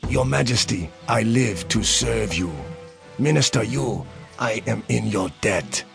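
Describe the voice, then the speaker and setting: An actor playing a humble, grateful monk of old Korea in a game cutscene, speaking with deference. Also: male voice, on the low side, creaky. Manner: slow, deferential. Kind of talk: scripted historical game cutscene acting. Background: game music.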